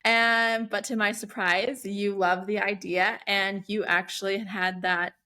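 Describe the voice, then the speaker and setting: A woman's voice: medium pitch, little pitch movement, sharp tone. A middle-aged American woman co-hosting a podcast, speaking reflectively with frequent restarts. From United States. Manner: reflective, halting, many restarts. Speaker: podcast co-host. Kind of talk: casual podcast chat.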